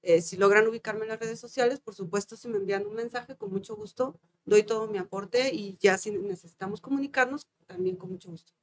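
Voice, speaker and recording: A woman from Veracruz with a Mexican Spanish accent, a guest mother speaking heartfelt and soft-spoken in a casual interview. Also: medium pitch, big pitch swings, fairly quick, a little hiss or hum.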